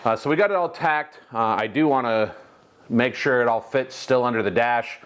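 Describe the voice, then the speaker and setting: A man's voice: medium pitch, normal speed, fairly bright tone, clean tone. An American man hosting a fabrication vlog, talking casually in a hesitant, rambling, laid-back way. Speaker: host of a metal fabrication how-to channel. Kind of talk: casual workshop vlog talk.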